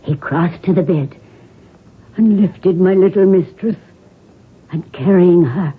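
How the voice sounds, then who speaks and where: Haunted Irish servant woman, extremely husky, slow, anguished storytelling, thin radio sound. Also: lowish voice, full character voice. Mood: grave, haunted. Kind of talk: 1970s radio drama acting. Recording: narrow, phone-like radio recording.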